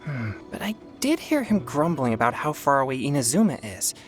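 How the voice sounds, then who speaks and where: A woman's voice: rumbling low, very animated, brisk, faint music behind. A woman voicing an earnest, polite teenage boy who is hard on himself, in a video game. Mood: subdued, earnest. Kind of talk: video game character acting.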